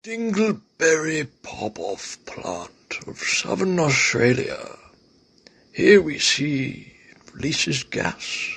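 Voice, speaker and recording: Man playing a grandiose comic narrator, slightly high, breathy husk, drawn-out deliberate phrasing, solemn. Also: huge pitch swings, a bit bright, exaggerated voice. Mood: solemn, mock-serious.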